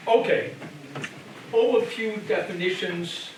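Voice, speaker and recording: A man's voice: high voice, slow. An older man from Germany with a German accent, giving a church lecture in a conversational way, often repeating phrases and asking the room "okay?". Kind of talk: church adult education lecture. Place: church hall. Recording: very echoey hall, distant mic, background noise.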